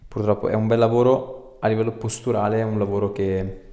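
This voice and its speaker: A man's voice: on the low side, flat delivery, brisk, dark tone. A man in his thirties working as a chiropractor, explaining things to a patient in a casual, reassuring way. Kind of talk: casual consultation with a patient during treatment. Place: small treatment room, indoors. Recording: echoey small room, background noise and clicks.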